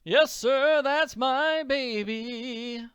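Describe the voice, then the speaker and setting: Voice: medium pitch, big pitch swings, slow, no echo, highs cut off, soft background music, pitched above the performer's own voice, full character voice. A performer voicing a jovial rich tycoon from a comic strip, a proud father with brash, slangy bluster. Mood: carefree singing. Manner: slangy, loud, gleeful bragging.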